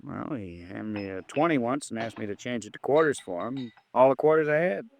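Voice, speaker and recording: A man's voice: medium pitch, lively intonation, brisk, gravelly. An actor playing a plain-spoken American male bartender, talkative and folksy, in 1950s radio drama style. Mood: recalling. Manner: folksy, slangy, eager to explain.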